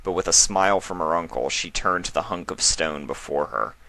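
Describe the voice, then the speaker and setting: A man's voice: deep voice, a little fast, gravelly, sharp tone. A man reading a scripted fantasy story aloud as its narrator.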